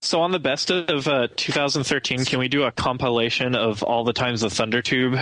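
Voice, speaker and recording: A man's voice: mid-range voice, steady tone, a little fast, a bit bright, poor audio. A man co-hosting a casual podcast, joking and laughing often, with an Argentine Spanish accent. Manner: laughs often, playful banter. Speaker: podcast co-host.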